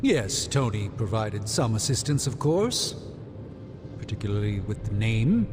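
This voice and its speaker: An actor playing a learned, self-assured sorcerer doctor in a video game, speaking formally and articulately with dry measured authority. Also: male voice, fairly low pitch, sing-song, noisy background. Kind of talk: video game cutscene acting. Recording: long reverb, music behind.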